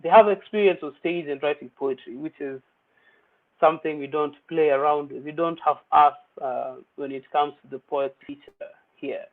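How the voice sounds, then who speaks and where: A man's voice: fairly high pitch, fairly even intonation, moderate pace. A young man hosting an online poetry open mic in a chatty, playful way, mixing English with Swahili slang. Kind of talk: casual livestream hosting. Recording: tinny phone-like audio.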